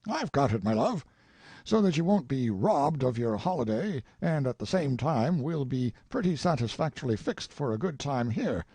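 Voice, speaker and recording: A man's voice: on the high side, wildly swooping pitch, normal speed, vocal fry, mellow, breathy edge, no echo, voice pushed up, full character voice. A man voicing a boastful, eccentric older colonel in an audiobook, talking in effusive, rambling exclamations. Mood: delighted. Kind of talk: audiobook character reading.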